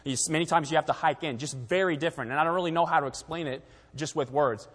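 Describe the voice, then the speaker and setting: A young man who grew up in Taiwan speaks to a church congregation, presenting missionary slides in an earnest, conversational way. His voice sits fairly high for a man. The sound carries a long reverb from a church hall. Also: fast talker, slightly rough. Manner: earnest, conversational, frequent fillers.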